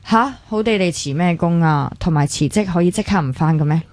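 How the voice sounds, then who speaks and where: A woman's voice: lowish voice, fairly quick, darkish tone, no room sound, lightly acted. A woman with a Hong Kong Cantonese accent acting a caring young hospital worker in a radio drama, speaking earnestly and conversationally with some English words mixed in. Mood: curious, familiar. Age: young adult.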